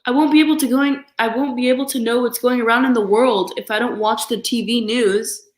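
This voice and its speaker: A woman's voice: a little high, steady tone, fairly quick, reverberant, lightly acted. A woman voicing a flustered father bear who protests in a blustering, exclaiming manner for a storybook.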